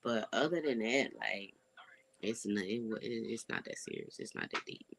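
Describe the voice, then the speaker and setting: Stuffy-nosed young adult with a gravelly voice. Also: medium pitch, animated, moderate pace, fairly bright tone, rough recording. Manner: rambling, laid-back talk with many fillers. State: stuffy, congested nose. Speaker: vlogger. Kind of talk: casual vlog update.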